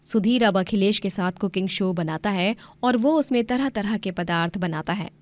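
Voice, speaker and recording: A woman's voice: medium pitch, normal speed, no echo. A woman narrator reading a scripted moral story.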